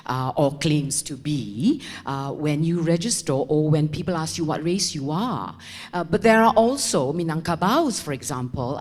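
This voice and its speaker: A woman's voice: low-pitched, a little mellow. An older woman teacher making a chatty, rambling comment from the audience with frequent asides. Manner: chatty, laughing, wandering asides. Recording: reverberant seminar hall.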